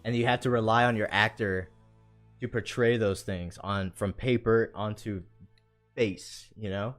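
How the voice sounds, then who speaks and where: A man's voice: on the low side, fairly even intonation, a little slow, soft background music. A man hosting a casual, rambling chat show, talking in an informal, slangy way. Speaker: show host. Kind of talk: casual chat about video game movies.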